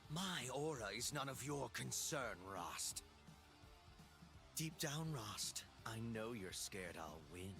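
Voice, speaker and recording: Gravelly, cocky villain. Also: male voice, medium pitch, lively intonation, takes it slowly. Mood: imperious. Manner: cocky, sarcastic, imperious. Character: arrogant young villain, power-hungry would-be galactic emperor. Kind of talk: video game character voice lines. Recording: game music behind voice.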